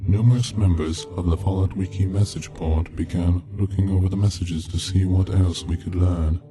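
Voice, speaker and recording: A man reading a scripted eerie story as narrator, in a measured, solemn, deadpan way. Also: sits low. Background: background music from game soundtrack.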